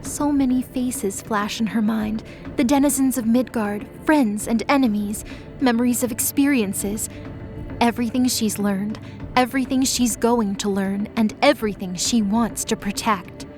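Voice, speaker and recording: A woman's voice: on the high side, moderate pace, a little mellow, soft background music. A woman giving dramatic scripted narration as the storyteller of an audio drama.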